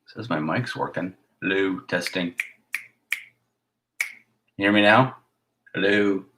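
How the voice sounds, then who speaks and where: A man with a raspy voice hosting a casual live stream as a filmmaker, rambling in a chatty way and sometimes dropping to a whisper. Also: deep voice, slow, slight background noise. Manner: hushed at times, drifting into whispers; chatty, with fillers and restarts.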